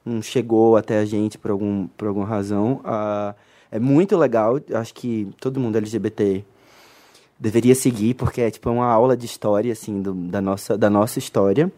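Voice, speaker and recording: A man in casual podcast chat, expressive and chatty, recommending things he likes. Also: on the low side, brisk.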